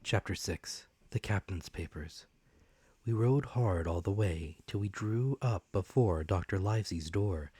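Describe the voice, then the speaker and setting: A man's voice: sits low, a little slow, dark tone, breathy edge, no room sound. A man reading a classic adventure story as an audiobook narrator, with dramatic scripted delivery.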